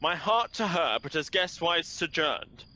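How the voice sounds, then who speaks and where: A man's voice: a little high, lively intonation, unhurried, bright, forceful tone, dull audio, heavily acted. A man playing a besotted young lover, effusive and swooning in Shakespearean radio verse. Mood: haughty. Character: besotted young male lover, extravagant wooer.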